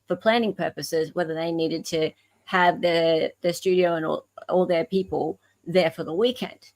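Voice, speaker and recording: An Australian woman with a slightly low voice talks as a podcast co-host. She explains casually, stopping and correcting herself as she works through her point. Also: steady tone. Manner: halting, self-correcting, explanatory. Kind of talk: casual discussion of legal case emails.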